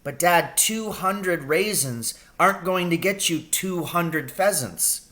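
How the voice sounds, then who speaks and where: A man's voice: a little high, lively intonation, slow pace, sharp tone, voice pushed up, full character voice. A man voicing an eager, earnest young boy in a storybook, questioning and pleading with his father.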